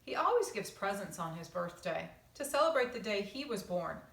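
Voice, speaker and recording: A gentle, genial giant panda from a picture book, read by a woman, speaks with polite, welcoming courtesy. The voice is mid-pitched, heard slightly distant in an echoing room. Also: very animated, normal speed, gravelly. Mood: warm, welcoming. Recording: roomy echo, distant microphone.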